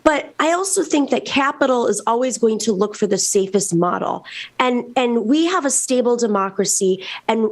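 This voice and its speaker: An American woman speaking off the cuff as a political panelist, often halting and repeating words as she forms her thoughts. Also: on the high side, expressive. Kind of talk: unscripted political discussion.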